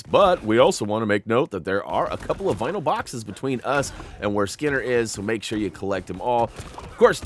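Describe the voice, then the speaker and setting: Lively, stuffy-nosed man doing game commentary. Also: mid-range voice. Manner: upbeat, chatty, playful asides. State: stuffy, nasal from irritated sinuses. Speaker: gaming YouTuber.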